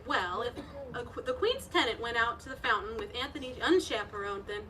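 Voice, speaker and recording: High, bright, starry-eyed sweetheart. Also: female voice, expressive, fairly quick, slight background noise. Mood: coquettish. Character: starry-eyed, gushing romantic small-town lady sweetheart. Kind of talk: 1940s radio comedy acting. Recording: stage radio play, somewhat distant mic.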